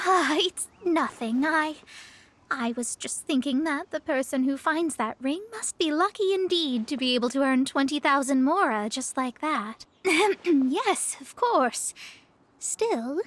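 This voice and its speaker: A woman's voice: high-pitched, lively intonation, measured pace, fairly bright tone, dry recording. A woman playing a proud, self-assured young female astrologer in a video game, speaking in a lofty, formal way. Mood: flustered. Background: background music.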